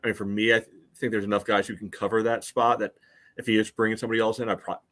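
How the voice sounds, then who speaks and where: A man with a somewhat low voice chats as a sports podcast host. He sounds conversational and measured, qualifying his points as he casually breaks things down. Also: level tone, a bit bright.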